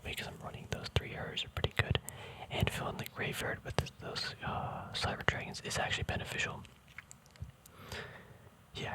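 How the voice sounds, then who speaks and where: Whispering man, laid-back ASMR chat. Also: poor audio. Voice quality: soft breathy whisper. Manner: laid-back, informal, self-deprecating. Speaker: ASMR card collector host. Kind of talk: whispered ASMR, casual rambling deck walkthrough.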